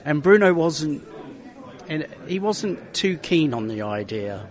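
An animated man with a fairly high voice tells racing stories in a casual interview. He acts out quoted lines as he goes and often stops to restart a phrase. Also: slow pace. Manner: animated, retells quotes in his own voice, many restarts. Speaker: racing driver guest.

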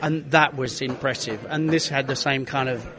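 A man, a racing driver being interviewed, who tells his story in a lively way and acts out quotes with many restarts. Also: medium pitch, moderate pace, a crowd in the background.

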